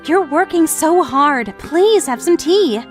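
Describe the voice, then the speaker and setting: A woman plays a gentle young receptionist in a romance comic dub. She is kind and polite, speaking in a very high voice. Faint music plays behind her. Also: animated, takes it slowly. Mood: kind, shy. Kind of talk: anime-style dub acting.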